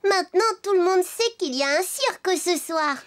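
A performer voicing an excitable, naive little wooden skittle child in a French children's cartoon, eager and full of wonder, with music behind. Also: extremely high pitch, normal speed. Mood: excited.

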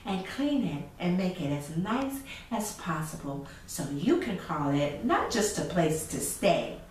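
A woman's voice: on the low side, animated, a little slow, darkish tone. A middle-aged woman hosts a children's story reading, speaking expressively and directly to young listeners. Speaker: storyteller and children's show host. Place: indoor room with chalkboard backdrop. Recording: echoey room from a distance, faint noise.

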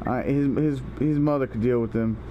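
A man's voice: mid-range voice, moderate pace, slight background noise. A young man making a prank phone call in casual, slangy talk, rambling and stammering, with some swearing. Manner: rambling, foul-mouthed, stammering. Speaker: prank caller and video host.

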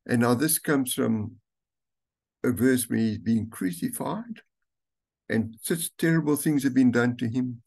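An older man, a pastor, reading scripture aloud and preaching a sermon slowly and deliberately from a room at home. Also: medium pitch, vocal fry, darkish tone, breathy edge. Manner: slow, deliberate reading with stretched words. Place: home room with curtains, indoors.

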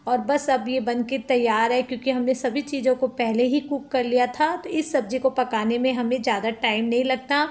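A woman's voice: fairly high pitch, fairly even intonation, moderate pace, few pauses. A woman hosting a cooking channel, chatting step by step through a recipe in a home kitchen and calling her viewers friends.

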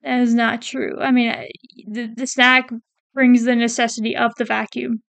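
A woman with a high voice and a gravelly fry chats casually through a ranking, trailing off and restarting as she reads lines aloud. The sound is close and dry, with no room around it. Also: flat delivery, moderate pace, a bit bright, dry recording. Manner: chatty, hesitant, self-correcting, reads quotes aloud. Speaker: PNGtuber ranking game characters. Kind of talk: casual commentary while reading a wiki.

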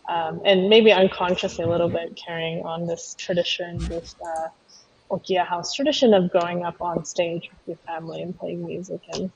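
Soft, low woman on a muffled call. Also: steady tone, moderate pace. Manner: thoughtful, hesitant with many ums. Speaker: guest, taiko performer. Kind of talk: casual interview talk. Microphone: video call. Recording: muffled video-call audio, faint noise.

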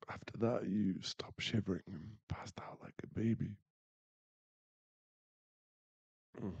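A young man playing a teasing, bashful bad-boy werewolf boyfriend in an intimate ASMR roleplay, halting and murmured. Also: on the low side, mellow, husky, dry recording, lo-fi sound, exaggerated voice. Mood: sleepy, tender. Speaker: voice actor in an audio roleplay.

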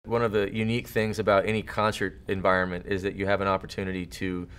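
Low-voiced earnest man in his thirties. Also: flat delivery, quick pace, a bit bright, smooth. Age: 30s. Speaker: interview panelist. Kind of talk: thoughtful explanatory interview talk. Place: indoor studio set.

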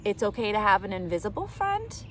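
Animated woman reading to children outdoors. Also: medium pitch, a little fast. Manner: animated, addressing young children directly. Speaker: children's storytime reader. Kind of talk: expressive picture-book read-aloud for children. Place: outdoors in leafy woods. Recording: outdoor ambient noise.

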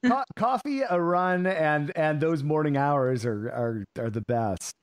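A man's voice: on the high side, animated, unhurried. A man hosting a podcast, talking casually with many restarts and eager agreement. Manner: stammering restarts, enthusiastic. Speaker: podcast host. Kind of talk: casual interview chat.

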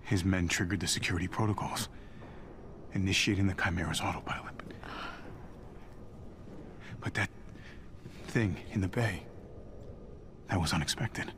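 A man playing an earnest, steadfast soldier superhero in his thirties, grave and measured, in a video game. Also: sits low, noisy background. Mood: troubled, gentle. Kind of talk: cinematic video game acting. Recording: music under the voice.

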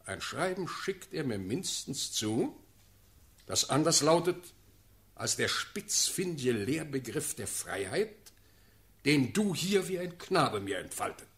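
An actor playing an imperious, quick-tempered German ruler in a classical verse radio play, with declamatory, theatrical speech. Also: male voice, fairly high pitch, big pitch swings, heavily acted. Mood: commanding. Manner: declamatory, theatrical, sharp swings in force. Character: imperious, quick-tempered German prince-elector, commanding and sardonic.

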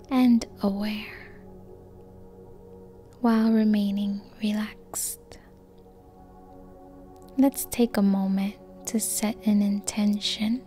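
Female meditation guide, extremely unhurried, soft and mellow, breathy near-whisper, gentle and calm. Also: mid-range voice. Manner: calm, gentle, long pauses between short phrases. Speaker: yoga nidra meditation guide. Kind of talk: slow guided sleep meditation.

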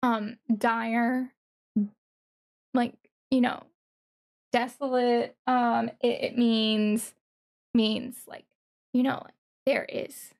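A woman giving casual, excited reaction commentary as a streamer, thinking aloud haltingly with interjections. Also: on the high side, creaky. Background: music from the watched video.

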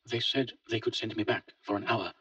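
A man voicing a grieving, halting grown son. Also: on the low side, fairly even intonation, sharp tone, husky, no echo, pitched above the performer's own voice. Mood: pleading. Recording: dull lo-fi, distant mic.

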